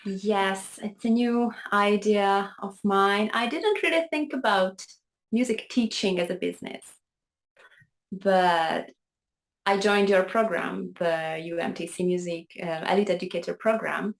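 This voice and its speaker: A woman from Hungary, speaking English with a Hungarian accent, tells her story in a warm, earnest way and laughs easily. Her voice sits at an ordinary middle pitch, heard over a video call. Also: measured pace, gravelly. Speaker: piano teacher, interview guest. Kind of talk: conversational storytelling.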